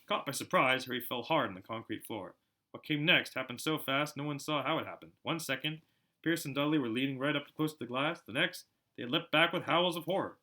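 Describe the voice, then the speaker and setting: A man reading a storybook aloud as an amateur narrator, in an expressive style. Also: mid-range voice, a little fast. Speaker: amateur audiobook reader.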